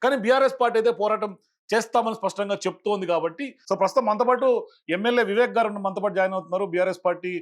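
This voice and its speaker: A man hosts a political interview and puts his questions rapidly and insistently. His voice is high for a man, and he speaks very fast, barely pausing between questions. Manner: quick, probing questions.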